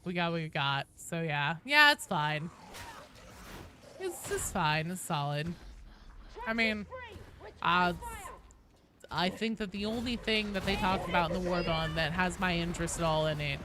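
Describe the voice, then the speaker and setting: A woman streaming a game and chatting casually with viewers in a rambling, sweary, animated way. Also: on the low side, measured pace, long pauses. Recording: clearly noisy background.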